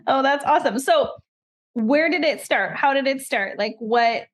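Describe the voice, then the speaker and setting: A woman's voice: high-pitched, a little slow. A woman hosting an interview show, upbeat and playful, laughing easily with her guest. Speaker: podcast host, general contractor. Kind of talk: scripted intro then casual interview.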